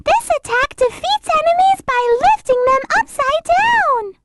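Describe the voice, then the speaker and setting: A woman's voice: very high-pitched, expressive, measured pace, very noisy. A woman voicing a sweet, polite little girl rabbit in a video game, with a small childlike voice and an earnest manner. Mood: earnest, explaining. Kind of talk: video game character voice acting.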